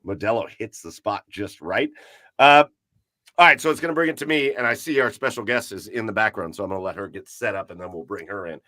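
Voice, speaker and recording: A man's voice: fairly low pitch, expressive, quick pace, pushed and bright, no echo. A man hosting a casual live podcast, chatting and reading comments in an upbeat, announcer-like way. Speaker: podcast host. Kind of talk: casual livestream chat.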